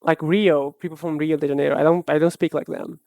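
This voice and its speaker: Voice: mid-range voice, steady tone, a little fast, vocal fry, a little mellow, dry recording, clean studio sound. Musing streamer with a Brazilian accent. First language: Portuguese. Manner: musing, hesitant, with frequent restarts. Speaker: art streamer. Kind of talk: casual chat answering a live chat.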